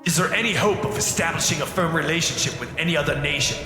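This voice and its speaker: A man's voice: a little high, fast, natural voice. An actor playing a proud, weary fantasy king who speaks in grand, formal, archaic phrases for an audio drama. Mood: grave, commanding. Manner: formal archaic speech, declamatory. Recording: loud music over the voice.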